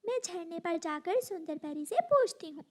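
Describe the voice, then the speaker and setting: A kind little girl bird from a children's cartoon, played by a woman. The voice is extremely high, with a polite, earnest and helpful manner. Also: expressive, normal speed. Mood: earnest concern. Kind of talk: Hindi animated moral story acting.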